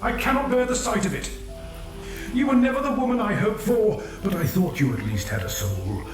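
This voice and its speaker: A man's voice: high-pitched, expressive, moderate pace. A man playing a venomous, preaching husband. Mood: furious. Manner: venomous, preaching, full of religious scorn. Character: bitter, self-righteous husband condemning his wife. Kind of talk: audio drama acting. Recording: very echoey with music underneath.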